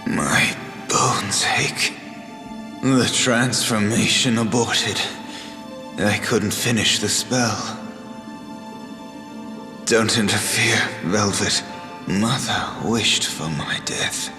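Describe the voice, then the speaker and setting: Casting: injured young game prince, bitter and guilt-laden, unhurried, strong reverb. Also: male voice, fairly low pitch. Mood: pained, defiant. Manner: slow, pained, brooding delivery. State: in pain. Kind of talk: scripted video game voice acting. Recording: echoey hall reverb under loud music.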